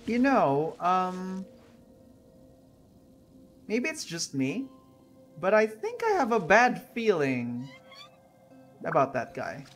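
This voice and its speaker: A man's voice: high-pitched, huge pitch swings, drawn-out words, pauses often, faint music behind. A man giving casual commentary on a game playthrough, musing and reacting aloud. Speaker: gaming video creator.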